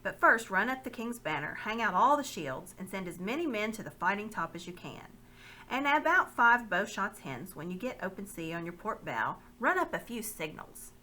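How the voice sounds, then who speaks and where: A woman's voice: mid-range voice, big pitch swings, normal speed, sharp tone, a little hiss or hum. A woman reading the part of a loyal middle-aged nobleman, speaking earnestly and courteously.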